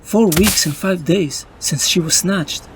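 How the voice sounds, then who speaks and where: An actor playing a young, weary, wisecracking superhero, narrating in a brooding, wry comic-book style. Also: male voice, high-pitched, a little slow, background noise. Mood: anxious, grieving.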